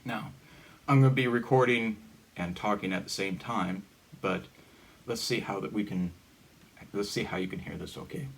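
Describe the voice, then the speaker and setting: A man giving a casual hands-on gadget demonstration in a halting, informal, step-by-step way. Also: lowish voice, vocal fry. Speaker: hobbyist demonstrator. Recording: steady background noise.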